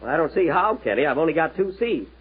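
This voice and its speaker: A man's voice: on the high side, big pitch swings, fast talker, slightly rough. A man hosting a 1930s radio comedy show, trading put-upon, indignant banter with his cast. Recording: tinny old broadcast with loud audience laughter and hiss.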